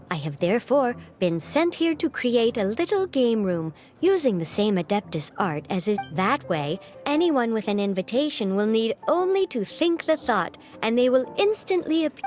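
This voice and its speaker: Game role: gentle, absent-minded bird creature, formal and hesitant, expressive higher voice. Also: female voice. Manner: hesitant, wordy, politely formal. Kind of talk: video game character dialogue.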